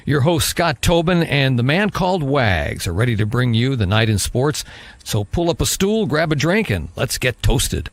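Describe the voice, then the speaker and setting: A man reading a scripted show intro as the station announcer, with a lively announcer delivery. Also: medium pitch, moderate pace, no echo.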